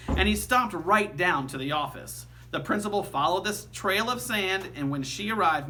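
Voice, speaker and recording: A man reading a children's story aloud like a teacher, with animated, emphatic storytelling. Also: high-pitched, slight background noise.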